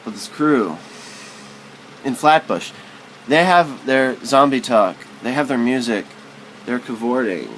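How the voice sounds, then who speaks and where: A man giving a literary spoken-word recitation in a theatrical, halting, wordy way, stumbling and repeating himself. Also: mid-range voice, expressive, a bit bright, slight background noise, poor audio.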